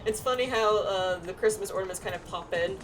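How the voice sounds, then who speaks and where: A woman's voice: medium pitch, a little fast, low-quality recording. A woman chatting casually through a game playthrough, reading the on-screen text aloud and stumbling now and then. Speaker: game streamer doing a playthrough. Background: game music.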